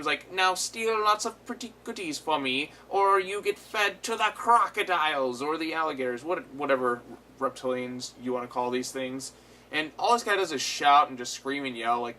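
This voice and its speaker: A man's voice: medium pitch, pushed and bright. A man giving a casual, unscripted episode review as host, retelling it animatedly with frequent swearing. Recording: clear background noise.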